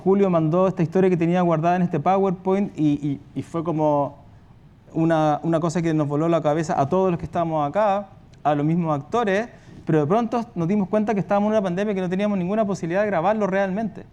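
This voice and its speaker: A man with a Chilean accent and a fairly high voice speaks off the cuff, as on a discussion panel. He rambles colloquially, telling things as anecdotes with many side remarks. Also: fairly even intonation, fast, warm tone. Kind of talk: spontaneous panel talk.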